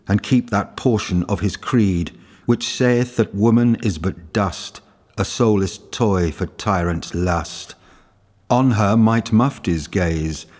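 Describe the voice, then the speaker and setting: A man reading a long narrative poem aloud in a measured, deliberate recitation. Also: deep voice, a little mellow. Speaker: reader. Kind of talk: poetry reading.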